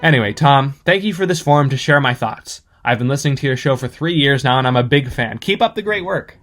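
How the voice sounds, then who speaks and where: A man giving prepared opinion commentary as a podcast listener, in an articulate, measured, essay-like way. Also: medium pitch, expressive, fairly bright tone, faint music behind.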